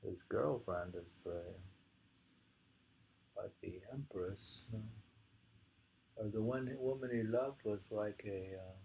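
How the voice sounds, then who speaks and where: A man casually reading poetry and talking it over in a halting, musing way. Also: fairly low pitch, gravelly, darkish tone, a little hiss or hum, low-quality recording. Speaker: reader and host of poetry readings.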